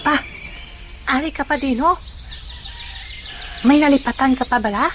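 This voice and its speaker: A woman's voice: high-pitched, measured pace, faint music behind. A woman playing an eager, comical uncle in an audio drama, with a Filipino accent and an exclamatory, repetitive delivery. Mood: eager, curious. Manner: exclamatory, repeats phrases.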